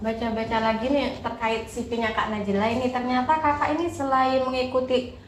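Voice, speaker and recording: A woman's voice: a little high, level tone, a little fast, reverberant, a little hiss or hum. A young woman hosting a campus podcast, asking questions and answering with frequent little listening sounds. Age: young adult. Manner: attentive, frequent listening backchannels. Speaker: podcast host. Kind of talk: casual podcast interviewing.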